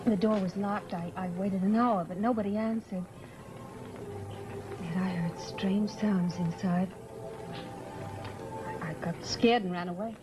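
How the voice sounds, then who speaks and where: An actress playing an anxious, earnest wife in an old-time radio horror drama. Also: female voice, medium pitch, steady tone, measured pace, long pauses, music in the background, close to an everyday voice, poor audio. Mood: despairing. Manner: earnest, fretful. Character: anxious, pleading young wife of doomed man.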